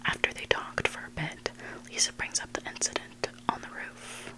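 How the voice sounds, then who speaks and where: An ASMR host whispering scary stories in a hushed, unvoiced voice, close to the microphone. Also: moderate pace, poor audio. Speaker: ASMR host reading listener-submitted stories. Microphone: close microphone made for headphone listening.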